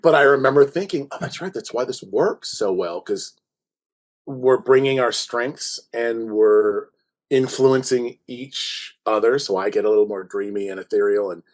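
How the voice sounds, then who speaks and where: A man's voice: mid-range voice, moderate pace. A man reminiscing casually as a podcast guest, telling anecdotes in a jokey way. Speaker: podcast guest, audio drama writer.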